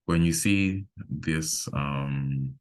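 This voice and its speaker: A man with a remarkably deep, low voice leads an online programming class. He talks at an easy pace, stopping to think and filling gaps with "um" as he works through examples. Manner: relaxed, thinking aloud with pauses and fillers. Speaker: coding instructor. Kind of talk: live online coding lesson.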